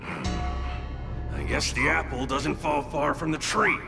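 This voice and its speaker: Sardonic game mischief-maker, gloating and theatrical; very slow drawl, gravelly edge. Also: male voice, a little high, wildly swooping pitch, music in the background, full character voice. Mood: sardonic, musing. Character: mischievous, mocking intruder commenting inside someone's mind. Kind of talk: video game voice acting.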